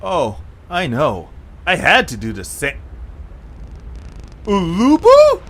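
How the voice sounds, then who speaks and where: A man playing an excitable, earnest young adventurer leading a stranded group in an audio drama. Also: high voice, takes it slowly, exaggerated voice. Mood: puzzled. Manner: exclamatory, animated, earnest. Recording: clear background hiss and noise.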